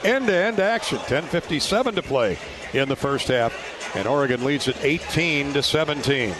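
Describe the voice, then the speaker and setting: A man doing live radio basketball play-by-play in clipped running phrases that rise to shouts on big plays. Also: on the high side, lively intonation, normal speed. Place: courtside in a basketball arena. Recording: noisy arena ambience, bouncing balls. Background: loud court noise, bouncing basketball.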